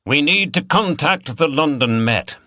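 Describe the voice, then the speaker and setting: A senior police detective, acted by a male audiobook reader, brisk and in charge. He gives orders decisively in a bright, forceful tone. The sound is dry and close. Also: a little high, expressive, dry recording, pitched above the performer's own voice. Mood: commanding. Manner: decisive, commanding. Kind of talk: audiobook character dialogue.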